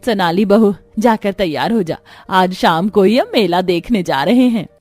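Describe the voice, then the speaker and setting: A woman's voice: medium pitch, moderate pace, darkish tone, soft background music, natural voice. A woman voicing a kindly, reassuring middle-aged village mother-in-law in a cartoon story, motherly and affectionate. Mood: eager.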